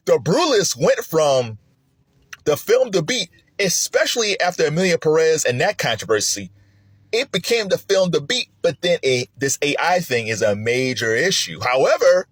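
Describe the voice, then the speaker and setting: A man casually sharing his film awards predictions, with an animated manner, laughing often and swinging from excited highs to low mutters. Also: husky, no room sound. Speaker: film commentator. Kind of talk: casual spoken commentary.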